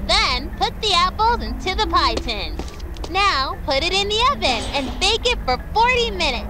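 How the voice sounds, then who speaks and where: A woman voicing a cheerful, bossy little cartoon girl who hosts a children's show in a deliberate, sing-song way. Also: extremely high pitch, very animated, background noise, heavily acted. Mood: bossy, instructive. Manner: sing-song, deliberate, addressing young viewers. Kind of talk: children's educational cartoon presenting, addressing the viewer.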